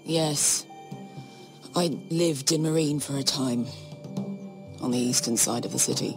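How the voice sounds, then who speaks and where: A woman's voice: rumbling low, unhurried, gravelly, heavily acted. Blunt, wary fighter woman. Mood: reluctant. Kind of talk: dramatic video game voice acting. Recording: game dialogue over music.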